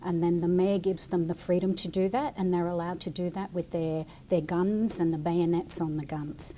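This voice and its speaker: A woman's voice: lowish voice, steady tone, normal speed, warm tone, a little hiss or hum. A woman explaining her work to children as a guest, in plain, chatty language. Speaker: city military liaison and veteran, guest speaker.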